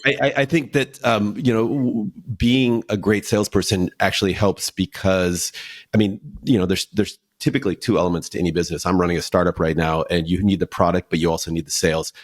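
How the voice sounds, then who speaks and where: A male podcast host with a very low, deep voice steers the conversation. He chimes in with brief affirmations and muses aloud, stopping and restarting as he goes. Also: fairly even intonation, a little fast. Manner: warm backchannel, hesitant restarts. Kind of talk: casual interview chat.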